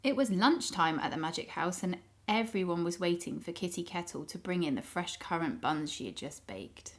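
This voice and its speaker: A woman reading a story aloud to young children in an expressive storytelling style. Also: on the low side, slight background noise. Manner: pauses to ask the child listeners questions.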